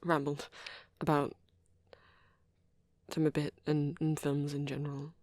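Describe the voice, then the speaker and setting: A woman voicing a shy, awkward young vampire boyfriend in an ASMR roleplay, hesitant and rambling, with a soft, breathy delivery. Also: deep voice, completely flat delivery, no echo, studio quality. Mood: shyly pleased. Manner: hesitant, halting, rambling, nervous.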